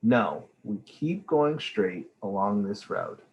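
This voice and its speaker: Guy doing upbeat firm dad from a kids book, decisive, reassuring, really animated. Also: on the low side, slow pace, dull audio, slight background noise. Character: firm, upbeat father leading a family trip.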